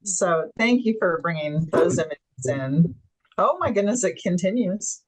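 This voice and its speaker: Enthusiastic low-voiced woman host. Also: lively intonation, measured pace, breathy edge, rough recording. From Tanzania. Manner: enthusiastic, explains things for viewers. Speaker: webinar host. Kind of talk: casual interview chat.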